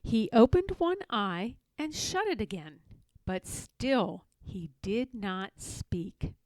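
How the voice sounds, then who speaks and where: A woman reading a children's story aloud as an expressive narrator. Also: medium pitch, slow, no room sound, rough recording. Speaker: storybook reader.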